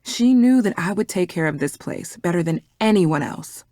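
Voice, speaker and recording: An actress playing a guarded, defensive young herbalist shopkeeper in a video game, curt and suspicious in manner. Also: female voice, lowish voice, lively intonation, moderate pace, dark tone, dry recording, clean studio sound, natural voice. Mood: guarded, explanatory.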